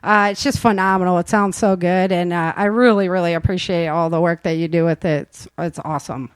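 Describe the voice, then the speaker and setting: A woman's voice: fairly low pitch, fairly even intonation, a little fast, clear, resonant tone, no echo. A woman hosting a casual cast interview, chatty and informal, often chuckling as she talks. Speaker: host and producer of an audio drama.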